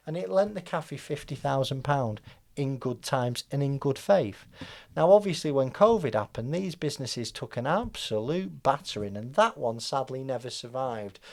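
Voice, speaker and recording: A man's voice: on the high side, a little mellow. A British man presenting business advice in an informal, conversational explainer style, often checking in with the listener. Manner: conversational, checks in with "right?" and "okay?".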